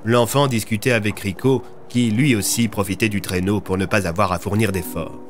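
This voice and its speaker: A man's voice: lowish voice, fairly even intonation, moderate pace, soft background music. A man narrating a scripted audio drama as a storyteller.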